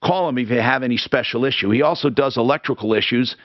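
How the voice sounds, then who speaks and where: A man hosting a talk radio show, helping callers and reading ads in a brisk, salesman-like way. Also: mid-range voice, no room sound, muffled recording. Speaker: radio host. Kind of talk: talk radio with live reads.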